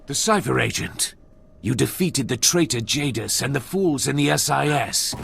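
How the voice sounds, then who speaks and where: A cold Sith lord from a video game. The voice is husky and heavy with gravel, and he hands down each sentence as an imperious, deliberate pronouncement, full of threat. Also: medium pitch, animated, fairly bright tone, exaggerated voice. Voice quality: raspy, gravelly. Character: cold menacing male Sith lord, a ruthless military commander.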